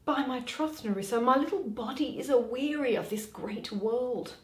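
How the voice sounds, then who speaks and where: A woman playing a witty, weary young noblewoman in a dramatic, deliberate reading of Shakespeare. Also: on the high side, darkish tone, natural voice. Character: Portia, witty weary young noblewoman, mocking her suitors. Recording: echoey room.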